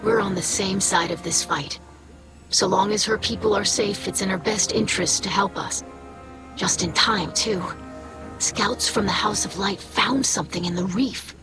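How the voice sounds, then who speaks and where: A woman's voice: mid-range voice, moderate pace, lightly acted. Lady playing a friendly earnest game scholar, articulate, music playing under her. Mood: grateful, determined. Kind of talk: video game character dialogue.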